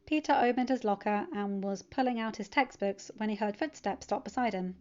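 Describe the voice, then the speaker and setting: A woman narrates a piece of fiction in a scripted storytelling style. Her voice sits at an average pitch for a woman. The recording is a little muffled. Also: brisk.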